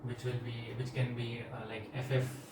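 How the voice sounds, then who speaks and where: A man with an Indian accent talks through a product review in a flat, even tone. He is informal and plain-spoken, recorded at a distance in an echoey room with noise behind him. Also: medium pitch, normal speed. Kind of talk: casual product demonstration and review.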